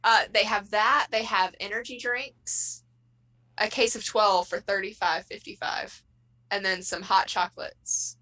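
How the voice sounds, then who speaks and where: A woman's voice: mid-range voice, steady tone, unhurried, pushed and bright, rough recording. A woman co-hosting a casual podcast chat, playful and laughing often as she reads things aloud. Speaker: podcast co-host.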